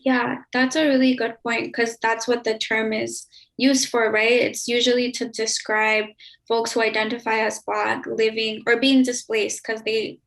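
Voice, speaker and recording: Creaky higher-voiced American woman, explaining. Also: flat delivery, a little slow, fairly bright tone. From Chicago, United States. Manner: explanatory, often ends points with "right?". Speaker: interview guest, researcher. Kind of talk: reflective conversational interview.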